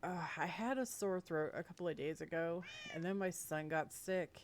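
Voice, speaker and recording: A woman's voice: fairly low pitch, fairly even intonation, normal speed, a little hiss or hum. A woman chatting casually to viewers while playing a game, sounding congested and sniffly from a cold, giggling now and then. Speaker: gaming video creator. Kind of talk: casual let's-play commentary.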